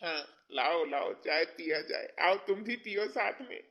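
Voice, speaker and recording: A man's voice: very high voice, normal speed, lo-fi sound, background noise. A man playing a middle-aged father-in-law in a domestic skit, blunt and colloquial. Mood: soft, coaxing. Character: irritable father-in-law, gruff with wife, sweet on daughter-in-law.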